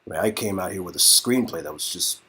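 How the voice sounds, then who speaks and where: A man reading the part of a wry, jaded but encouraging young screenwriter in game dialogue, with a husky voice. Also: low voice, fast, pushed and bright, full character voice. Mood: sincere, encouraging. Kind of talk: dramatic reading of visual novel game dialogue.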